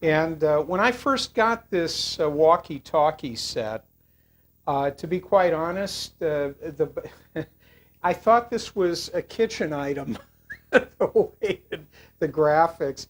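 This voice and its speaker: An older person giving a casual, unscripted product review in a hesitant, rambling way with frequent pauses. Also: medium pitch, lively intonation.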